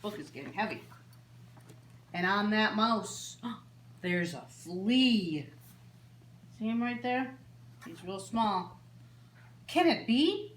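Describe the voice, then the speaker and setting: A woman with a mid-pitched voice reads aloud as a children's storyteller, in a roomy, echoing space with faint noise behind her. She phrases things simply and deliberately for small listeners, in an animated read-aloud style. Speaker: storyteller reading picture books to children.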